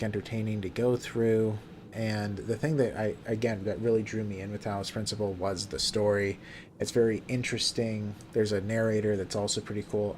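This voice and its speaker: A man casually chatting while he plays a game on a live stream, talking in a relaxed, soothing way and often restarting his sentences. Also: lowish voice, slight background noise. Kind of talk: casual live gameplay commentary. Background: game music.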